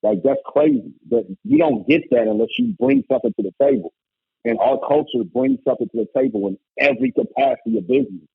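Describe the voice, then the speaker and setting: A man from Indiana with a loud voice, a former basketball coach, telling his story in an emphatic, animated way. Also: on the high side, fast talker, gravelly, husky, no room sound. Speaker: guest, former college basketball coach turned musician. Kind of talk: casual conversation. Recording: tinny phone-like line, dry.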